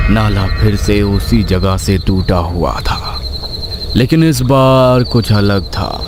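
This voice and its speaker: A man narrating a scripted horror story suspensefully, with dramatic pauses. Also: on the low side, heavy background noise. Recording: constant night cricket and insect sounds behind.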